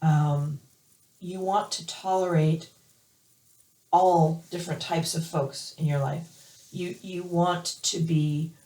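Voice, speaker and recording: A woman hoarse from allergies giving a weekly tarot reading, hesitant with many fillers and restarts. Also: sits low, steady tone, slow pace, mellow, far from the mic. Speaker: tarot reader. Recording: roomy, from off the mic.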